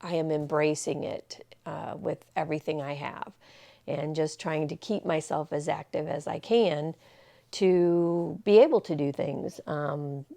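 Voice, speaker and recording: A woman's voice: low-pitched, fairly even intonation, measured pace, creaky, dark tone. A woman in her sixties talking casually to camera, rambling and reflective, with many fillers and restarts. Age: 60s. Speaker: vlogger. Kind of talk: casual personal talk to camera.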